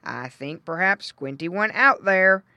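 A woman voicing a grunting father pig with drawn-out speech in a children's storybook. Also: lowish voice, expressive, sharp tone, no room sound, highs cut off, exaggerated voice. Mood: concerned.

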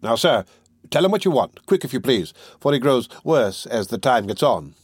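A brusque prison jailer , acted by a male audiobook reader. He sounds curt, loud and matter-of-fact. The recording is dry and close. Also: mid-range voice, sing-song, moderate pace, breathy edge, no echo. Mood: brisk, gruff. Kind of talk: dramatic audiobook character reading.

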